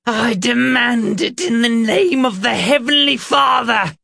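A man voicing a stern, fanatical older male preacher in an audiobook, declaiming in drawn-out sermon phrases. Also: mid-range voice, a little mellow, no room sound, studio quality, lightly acted. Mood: shrieking wrath.

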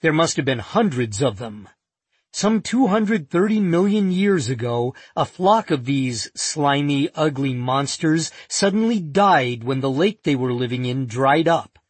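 Guy reading an audiobook, kinda high voice, husky, bone dry close mic. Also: lively intonation, no room sound. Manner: measured, vivid storytelling. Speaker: narrator. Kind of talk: audiobook nonfiction narration.

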